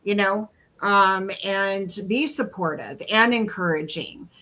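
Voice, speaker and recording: A woman's voice: medium pitch, slow pace, bright, forceful tone, poor audio. A woman hosting a casual podcast chat in a mid-range voice. She talks earnestly, rambles a bit and hunts for her words with fillers along the way. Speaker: podcast host.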